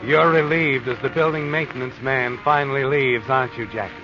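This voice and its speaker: An actor playing an eerie, all-knowing mystery narrator, taunting and dramatic, in 1940s radio style. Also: male voice, medium pitch, fairly even intonation, brisk, natural voice. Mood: sly suspense. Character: eerie, knowing, sardonic omniscient narrator of a crime tale. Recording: muffled vintage radio recording with music.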